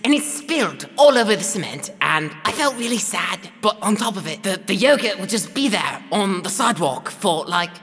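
A woman playing a dejected, rambling pastor who complains haltingly and deadpan in a comedic audio drama. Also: lowish voice. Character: dejected, rambling pastor airing petty personal woes. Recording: long hall reverb, faint music.

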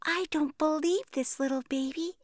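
A woman's voice: sits high, moderate pace, no room sound, studio quality, lightly acted. A woman playing a prim, precise older fairy sister who speaks formally and fussily in a fairytale audio drama. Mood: fussy.

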